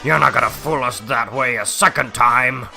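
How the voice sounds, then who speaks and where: A man's voice: medium pitch, moderate pace, gravelly. A man voicing a stern policeman who barks orders and accusations in a dramatic comic-book reading. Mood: commanding. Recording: roomy echo, loud music underneath.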